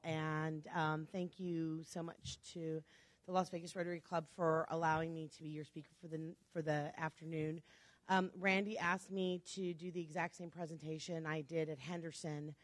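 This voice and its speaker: Woman, low voice, speaks fast, chatty club talk, jokey asides, dry close sound. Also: level tone, no room sound. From Chicago. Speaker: guest speaker. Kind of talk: public talk to a club audience.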